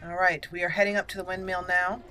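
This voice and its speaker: A woman's voice: lowish voice, flat delivery, quick pace, sharp tone, a little hiss or hum, rough recording. A woman giving casual game commentary as host, chatty and giggly, musing aloud as she plays.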